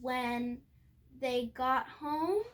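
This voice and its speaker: A young girl reading a picture book aloud expressively, with halting moments and chatty asides to her viewers. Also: high-pitched. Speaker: storybook reader.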